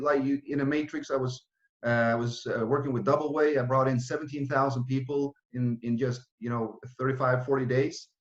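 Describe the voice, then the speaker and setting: Man in his thirties, roomy lo-fi sound. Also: medium pitch. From Norway. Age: 30s. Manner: fast, run-on, with frequent restarts and fillers. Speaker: project founder presenting. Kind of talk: online presentation with Q and A.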